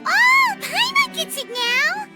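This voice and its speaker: A woman voicing a tiny, excitable, childlike fairy companion in a video game, squeaky and perky. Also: slow pace, exaggerated voice. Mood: excited. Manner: perky, speaks of self in third person. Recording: music behind the voice.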